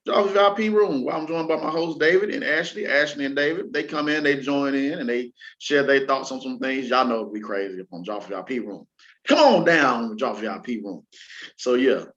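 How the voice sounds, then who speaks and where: Deep-voiced male talk show host, dull audio. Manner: animated, slangy, addresses listeners like an announcer. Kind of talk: casual entertainment news chat. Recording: dull lo-fi audio, music underneath.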